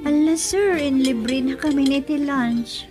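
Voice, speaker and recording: A woman's voice: high-pitched, a little slow, over music. An actress playing a polite, deferential young working woman in a radio drama. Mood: polite, shy.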